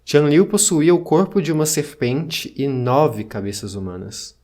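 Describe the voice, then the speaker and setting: A man playing a solemn chronicler, narrating ancient legends in a measured, scripted way for an audio drama. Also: fairly high pitch, a little mellow, lightly acted. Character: solemn male chronicler reading ancient myths.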